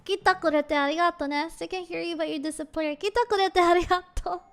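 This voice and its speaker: A woman's voice: very high-pitched, fairly even intonation, rapid-fire. A woman streamer chatting casually and giggling, switching between English and Japanese. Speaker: VTuber streamer. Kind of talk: casual live-stream chat.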